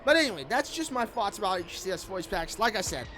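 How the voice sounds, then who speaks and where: A man's voice: high-pitched, sing-song, quick pace, sharp tone, slight background noise. A man giving casual video commentary over gameplay, rambling and often repeating his phrases. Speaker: gaming video creator. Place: room at home. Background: background music.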